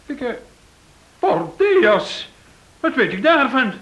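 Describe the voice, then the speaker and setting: Very high-pitched flustered Spaniard. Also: male voice, big pitch swings, a bit bright, lo-fi sound, exaggerated voice. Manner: hesitant, stammering, drawn-out words. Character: flustered, evasive Spanish man feigning ignorance. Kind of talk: Dutch-language radio play acting. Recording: old radio play recording.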